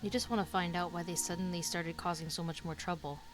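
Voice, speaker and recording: A woman's voice: lowish voice, steady tone, fast. A woman streamer giving casual reaction commentary while playing a game. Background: game music.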